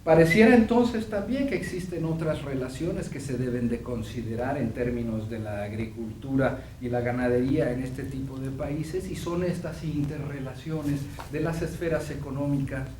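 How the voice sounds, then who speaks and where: Male professor lecturing, mid-pitch, roomy hall, heard from afar with faint hum, measured delivery. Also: darkish tone, recorded from a distance. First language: Spanish. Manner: measured, with frequent fillers. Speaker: visiting professor. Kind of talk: academic lecture.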